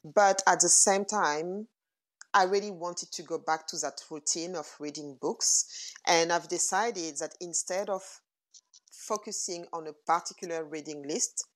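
A woman in her forties speaks with a French accent in a notably low register. She talks as an interview guest, thoughtful and unhurried, leaning on fillers and restarting her sentences. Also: poor audio. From France. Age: 40s. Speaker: guest entrepreneur. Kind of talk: casual interview conversation.

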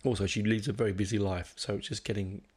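A man hosting a music podcast in a casual, rambling way, his voice croaky from a cold. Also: fairly low pitch, fairly even intonation, quick pace, darkish tone. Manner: rambling, many ums and restarts. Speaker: podcast host.

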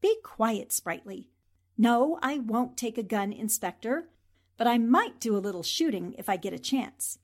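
Casting: plucky young newspaperwoman sleuth, played by a woman, higher-pitched, flippant and arch, for 1940s-style radio drama. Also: animated, a little slow. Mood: brisk, breezy. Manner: talks to herself, flippant, arch.

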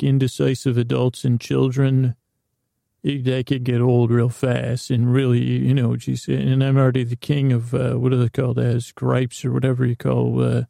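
Male podcast host, very gravelly fry, extremely soft dark tone, meandering comic monologue, halting and self-interrupting. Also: mid-range voice, no room sound. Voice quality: gravelly, creaky. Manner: halting, self-interrupting, trailing off mid-thought.

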